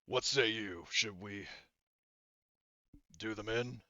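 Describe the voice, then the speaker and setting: A man playing a deliberate, commanding squad leader weighing grim decisions in a sci-fi tabletop game. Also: lowish voice, bright, forceful tone, dry recording, dull audio. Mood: grave, commanding.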